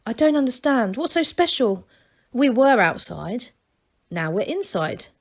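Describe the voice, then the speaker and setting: A woman's voice: a little high, big pitch swings, unhurried, voice pushed up, heavily acted. A woman voicing a bewildered young male gnome, asking plain, honest questions. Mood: puzzled.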